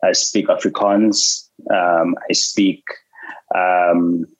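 A man whose first language is isiXhosa, speaking in a quick, matter-of-fact way during a casual chat. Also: sits low, little pitch movement, low-quality recording. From South Africa.

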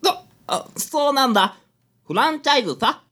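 A woman's voice: high-pitched, expressive, moderate pace, fairly bright tone. A woman playing a bumbling, timid young man in a radio drama, flustered and apologetic. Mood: false bravado. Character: meek, bumbling young man, anxious mama's boy.

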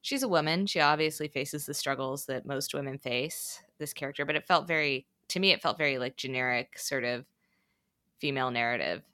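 Podcast co-host, woman, low pitch, crisp clear tone, measured casual talk. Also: sharp tone, no echo, studio quality. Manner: measured, hedging, with frequent fillers. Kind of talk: casual book discussion.

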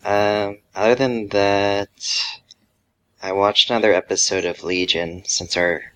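Man, deep voice, podcast co-host, casual chat, informal phrasing. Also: fairly even intonation, measured pace, sharp tone, clear, resonant tone.

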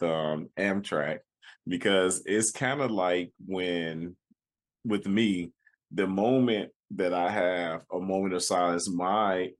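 A man hosting an interview, affirming the guest with short remarks. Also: low-pitched. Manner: affirming, says "awesome" a lot, chuckles. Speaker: interviewer, host. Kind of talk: conversational interview.

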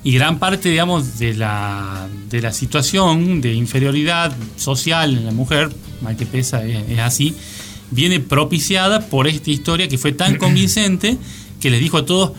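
Argentine guy co-hosting radio, kinda high voice, joking around casually, music underneath. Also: a bit bright. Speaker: radio co-host. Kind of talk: casual, joking panel chat. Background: background music.

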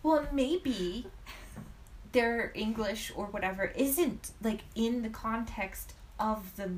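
A woman in casual podcast conversation, telling stories in an animated way and laughing often. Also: on the high side, slow, a little hiss or hum, rough recording. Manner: giggly, animated storytelling with frequent laughs. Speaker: podcast co-host. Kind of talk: casual chat.

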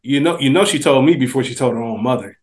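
Very fast-talking American man. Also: medium pitch. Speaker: podcast co-host. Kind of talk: casual chat.

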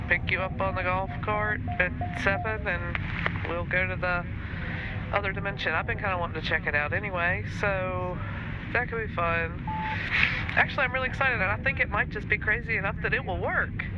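A man playing a chatty, excitable space-crew sidekick in an improvised audio comedy, rambling in everyday colloquial speech. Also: sits high, fairly quick, close to an everyday voice. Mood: excited. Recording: dull radio-like sound, very loud vehicle noise.

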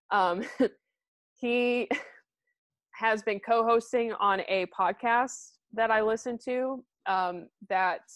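A woman's voice: medium pitch, dry recording. A woman co-hosting a podcast, chatting casually and rambling with fillers and laughs. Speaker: podcast co-host. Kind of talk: casual chat.

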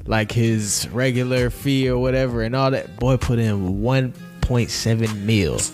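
A man's voice: mid-range voice, a little slow, darkish tone, soft background music. A young man co-hosting a casual sports chat, joking around and laughing easily. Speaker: podcast co-host.

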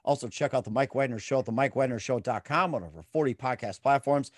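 A man's voice: medium pitch, fast, no room sound. Man hosting a podcast, dry sound. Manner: hesitant, many fillers when interviewing. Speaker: podcast host. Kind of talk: interview with ad read.

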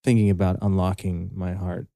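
A young man co-hosting a podcast, speaking thoughtfully and hesitantly, with a soft manner. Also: low-pitched, level tone, normal speed, gravelly, no echo, studio quality. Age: young adult. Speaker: podcast co-host. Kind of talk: casual chat.

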